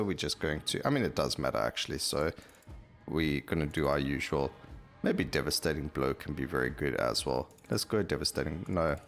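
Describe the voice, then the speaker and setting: A man with a low voice gives casual gameplay commentary over faint music. He hosts in a rambling way, thinking out loud as he plays. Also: gravelly. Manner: rambling, thinking aloud while playing. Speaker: gameplay video host.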